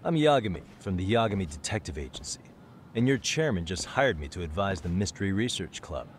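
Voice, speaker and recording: Low-voiced, cool streetwise detective. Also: male voice, big pitch swings, a little fast, slight background noise. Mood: cool, warning. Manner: cool, probing, wry. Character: calm, streetwise male private detective. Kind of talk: video game English dub dialogue.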